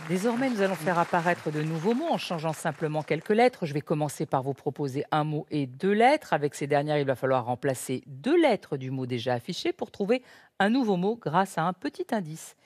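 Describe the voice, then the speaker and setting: A woman's voice: deep voice, lively intonation, a little fast, a little mellow, slightly rough, dry recording, clean studio sound. A woman in her sixties hosting a word game show, reading clues with emphasis and laughing often. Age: 60s.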